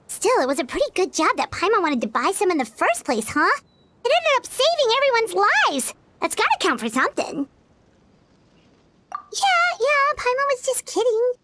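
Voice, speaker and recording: A tiny flying game companion, excitable and chatty. Her voice is extremely high and bounces all over the place. She refers to herself by name instead of saying "I". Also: normal speed, exaggerated voice. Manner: talks about herself in third person, excitable. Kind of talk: video game voice acting.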